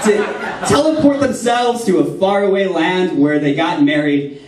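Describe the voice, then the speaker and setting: A man's voice: sits high, normal speed, crowd noise. A man performing a joking storybook routine on stage for a live audience, chatting and laughing between lines. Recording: roomy hall sound from far off.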